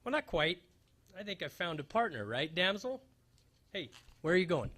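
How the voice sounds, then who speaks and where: Bright, forceful male radio-spoof detective, acted by a man, wisecracking and hapless, wry hard-boiled delivery. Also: high-pitched, big pitch swings, moderate pace, full character voice. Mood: confident, wry. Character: wisecracking, hapless male private fire investigator narrating a noir spoof. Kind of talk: old-time radio detective spoof, staged reading.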